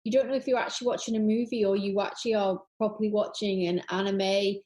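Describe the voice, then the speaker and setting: A woman with a soft-edged, mellow voice at a middling pitch co-hosts a casual podcast. She speaks with enthusiasm and often doubles up words to stress them. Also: fairly even intonation, quick pace, poor audio. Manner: enthusiastic, repeats words for emphasis. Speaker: podcast co-host. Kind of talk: casual chat.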